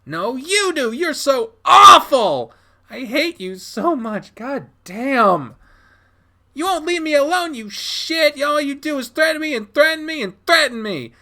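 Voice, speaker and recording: A man voicing an enraged, defiant adolescent male animal in a dramatic story, shouting in tearful, whiny protest. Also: very high-pitched, sing-song, unhurried, voice pushed up, heavily acted. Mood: tearful rage.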